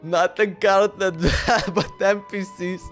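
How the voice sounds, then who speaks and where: Give me a young guy streamer with a high voice, rambling in slang and stammering over words, faint music behind. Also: fairly even intonation, slow pace, fairly bright tone. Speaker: livestreamer playing a game. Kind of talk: casual livestream chat.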